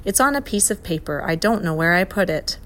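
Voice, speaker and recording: A woman's voice: lowish voice, lively intonation, fairly quick, fairly bright tone. A woman voicing a vague, forgetful pear-shaped male creature in a storybook reading, with an absent-minded, mournful sing-song.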